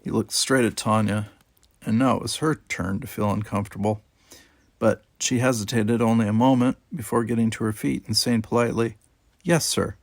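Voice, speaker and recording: A man's voice: lowish voice, steady tone, fairly quick, no echo, studio quality. A man reading a novel aloud as a scripted audiobook narrator.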